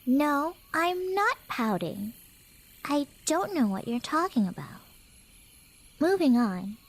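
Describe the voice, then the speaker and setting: Woman playing a high-voiced, teasing Cheshire cat girl. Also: very animated, slow, exaggerated voice. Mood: pouty.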